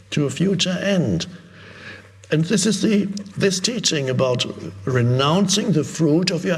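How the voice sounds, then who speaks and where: A man giving an unscripted spiritual talk as a teacher, reflective and halting, pausing and rephrasing as he goes. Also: mid-range voice, creaky. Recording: long reverberant hall echo.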